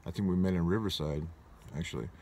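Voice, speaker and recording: A man's voice: low voice, fast, warm tone, slight background noise. A man in his forties from Southern California, telling a personal story in a rambling, reflective way and often correcting himself. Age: 40s. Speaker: vlogger.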